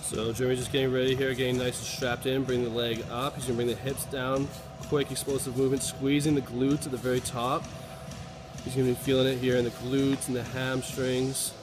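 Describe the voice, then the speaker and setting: A man's voice: medium pitch, little pitch movement, fairly quick, noisy background. A man working as a fitness coach, giving casual, plain-spoken instructional commentary on exercises. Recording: loud background music. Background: loud music.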